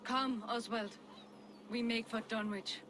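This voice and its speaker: An actress playing a firm, guarded Viking noblewoman in a video game, direct and measured in her speech. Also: a little high, steady tone, a bit bright, a little hiss or hum. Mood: resolute. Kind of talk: dramatic video game acting.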